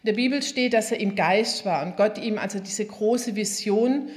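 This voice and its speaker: A woman's voice: mid-range voice, moderate pace. Woman preaching emphatically. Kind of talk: sermon with Bible reading.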